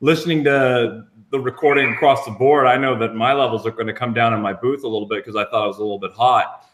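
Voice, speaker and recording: A man in his fifties with a mid-pitched voice talks casually as a guest on a podcast. His delivery is deadpan and wry. Clear background noise and clicks sit on the recording. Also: fast talker. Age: 50s. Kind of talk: casual chat about own work. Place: home or personal recording booth. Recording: noisy booth recording with clicks.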